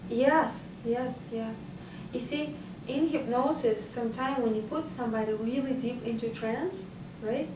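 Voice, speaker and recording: A woman's voice: a little high, measured pace. A woman from Russia with a Russian accent, a hypnotist answering interview questions thoughtfully with hesitant fillers. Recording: echoey room, far from the mic, noisy.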